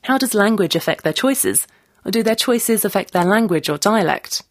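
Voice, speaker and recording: A woman's voice: mid-range voice, expressive, moderate pace, no room sound, clean studio sound. A woman presenter delivering scripted narration and putting questions to a guest. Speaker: presenter and interviewer.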